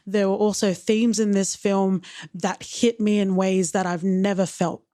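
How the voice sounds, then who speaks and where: A woman's voice: medium pitch, little pitch movement, measured pace, dark tone, no room sound. Earnest woman, dry close mic. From Australia. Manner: earnest and openly emotional, with wry humor. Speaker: podcast co-host. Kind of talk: reflective casual chat.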